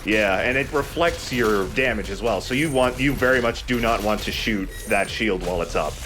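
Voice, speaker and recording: Male let's play commentator, fairly deep, conversational and opinionated, slight background noise. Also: normal speed. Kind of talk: casual gameplay commentary, explanatory.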